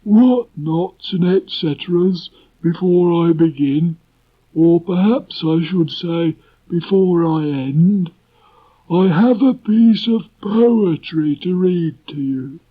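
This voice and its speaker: A man voicing a gloomy, self-important old donkey in a children's storybook, declaiming in a ponderous, halting, doleful way. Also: high-pitched, pitched above the performer's own voice. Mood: pompous, mournful. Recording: muffled old cassette tape transfer.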